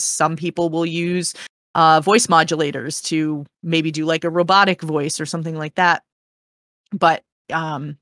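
A woman's voice: deep voice, a bit bright, no echo, studio quality. A woman in a casual discussion, explaining in an earnest, encouraging and meandering way as a panel guest.

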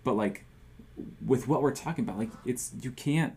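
A man co-hosting a casual church discussion, talking in a rambling way with lots of "like" and "you know" and trailing off softly. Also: medium pitch, normal speed. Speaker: podcast co-host.

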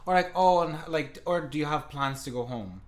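A young adult from a Russian-speaking family in Europe who moved abroad at six, talking in an animated, rambling way in casual chat. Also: mid-range voice, moderate pace. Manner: animated, rambling, many fillers. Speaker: podcast co-host, younger sibling.

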